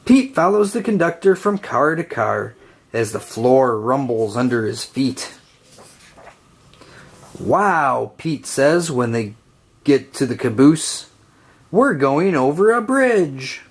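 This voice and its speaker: A woman reading a children's picture book aloud in a gentle storytelling way. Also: breathy edge. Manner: slow, gentle storytelling with lively pitch. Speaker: storybook reader.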